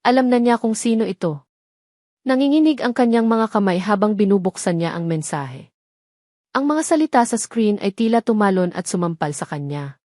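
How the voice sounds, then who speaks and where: A woman's voice: mid-range voice, animated, moderate pace, a little mellow, no echo. Dry-sounding Filipino woman narrating drama. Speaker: audio story narrator. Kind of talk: dramatic scripted narration of a romance story.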